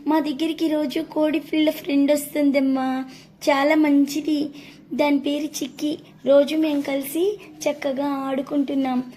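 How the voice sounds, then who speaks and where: A woman's voice: sits high, level tone, normal speed, warm tone, a little hiss or hum, voice pushed up, lightly acted. A woman voicing an innocent little hawk chick in a children's story, with childlike pleading. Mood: happy.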